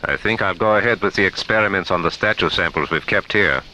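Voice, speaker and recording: A man playing a determined, authoritative research professor in a science-fiction radio drama, with firm, deliberate delivery. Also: deep voice, pushed and bright, a little hiss or hum, poor audio.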